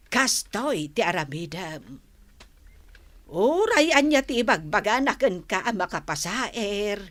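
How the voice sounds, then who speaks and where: A woman playing an older storekeeper who gives measured, admonishing peacemaking advice in a Philippine radio drama. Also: medium pitch, fairly bright tone. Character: Nana Mila, calm older neighbourhood storekeeper giving peacemaking advice.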